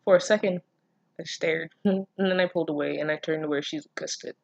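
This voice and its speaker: A person reading fan fiction aloud casually, breaking off for expressive, incredulous asides full of swearing. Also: mid-range voice, brisk, creaky, dull audio. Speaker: online reader reacting to fan fiction. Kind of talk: casual read-aloud with running commentary.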